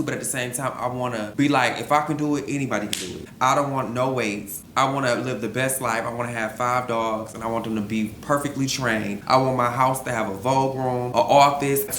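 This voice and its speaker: A young man talks straight to camera in a candid, slangy, animated way, running through viewer questions at home. His voice sits at an ordinary pitch for a man. The room around him rings with echo, and a faint hum sits underneath. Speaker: YouTuber answering viewer questions. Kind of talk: casual vlog Q&A.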